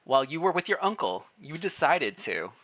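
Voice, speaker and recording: A streamer reading fan fiction aloud in a playful, expressive way, mixed with casual livestream chat. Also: mid-range voice, normal speed.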